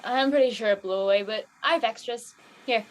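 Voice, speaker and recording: Easygoing teen girl acted by a woman, fairly high voice, casual and gently teasing. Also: fairly quick, close to an everyday voice. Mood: casual, curious. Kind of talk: casual scripted drama dialogue.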